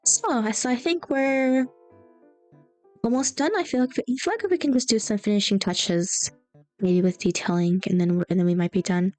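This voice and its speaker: A woman's voice: mid-range voice, moderate pace, a little mellow. A young woman streamer chatting casually and rambling through stories while she draws. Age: young adult. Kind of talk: casual chat while drawing. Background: faint background music.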